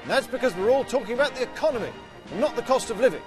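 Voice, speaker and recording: A man narrating a mock public information film in a cheery, deadpan satirical manner. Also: very high voice, very fast. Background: music.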